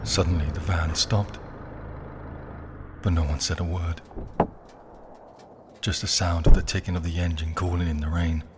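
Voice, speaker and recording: Casting: male narrator for dark crime drama, low-pitched, unhurried, brooding, with clear background ambience. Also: flat delivery. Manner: brooding, deliberate, dramatic pauses. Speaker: narrator and performer. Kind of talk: dark first-person scripted drama narration. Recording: clear ambient noise behind the voice.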